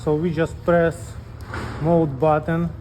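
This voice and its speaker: Male technician for how-to demo, slow plain delivery, relaxed timbre, slight background noise. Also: a little high. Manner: plain step-by-step explaining. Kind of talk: hands-on instructional demonstration.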